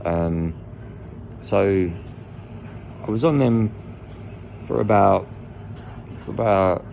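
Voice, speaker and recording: A man with a croaky voice, telling his own story in a rambling way full of restarts and asides. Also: on the low side, drawn-out words, a little mellow, a little hiss or hum, poor audio. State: croaky voice from lying down. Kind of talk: candid personal storytelling. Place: indoors lying down at home.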